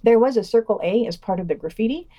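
A woman's voice: on the high side, lively intonation, fast talker, mellow, no room sound, dull audio. A woman co-hosting a podcast, reading scripted news and quotations with dry, understated commentary.

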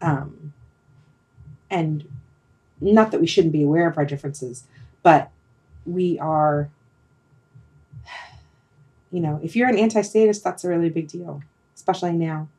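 A woman's voice: deep voice, unhurried, long pauses, mellow. A middle-aged woman hosting a casual, reflective conversation, hesitant and rambling as she thinks aloud. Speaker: podcast host leading a discussion.

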